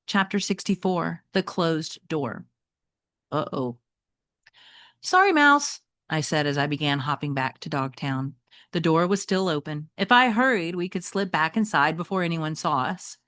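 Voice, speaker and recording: A woman's voice: low voice, moderate pace, dry recording, clean studio sound. A woman teacher reading a children's novel aloud expressively, speaking directly to her young listeners. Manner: expressive, addresses listeners with check-in questions.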